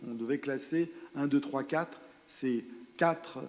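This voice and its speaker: A man presents findings to a meeting in a mellow, soft-edged voice of medium pitch. His delivery is halting, often repeating small words as he explains. The sound carries the long reverb of a large hall. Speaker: presenter of a territorial project at a council meeting. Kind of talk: spoken presentation of survey results and strategy, partly improvised.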